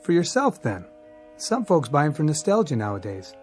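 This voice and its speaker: An easygoing small-town American repairman in a radio play. His voice is fairly high and swings freely in pitch, with short, folksy phrasing. Faint music runs behind him. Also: very animated, moderate pace, a little mellow. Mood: mildly curious. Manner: laconic, folksy. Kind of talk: radio drama acting. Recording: studio radio drama with music bed.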